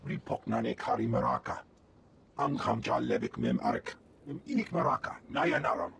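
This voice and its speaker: A man voicing a gravelly alien creature that speaks a clipped, made-up tongue in a game. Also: mid-range voice, huge pitch swings, normal speed, noisy background, full character voice. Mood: guttural, insistent.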